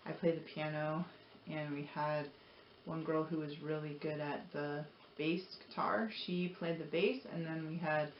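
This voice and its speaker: A woman's voice: low-pitched, fairly even intonation, unhurried. Echoey, earnest woman vlogger. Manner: earnest, encouraging, rambling. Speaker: motivational vlogger. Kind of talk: casual personal talk to viewers. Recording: roomy echo, dull lo-fi audio, noisy.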